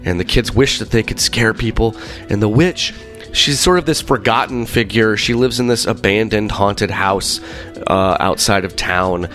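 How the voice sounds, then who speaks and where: A man hosting a podcast in casual chat, talking with many fillers and a slightly rough voice, congested from being sick. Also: on the low side, normal speed, faint music behind. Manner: rambling, animated storyteller with many fillers. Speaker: podcast host.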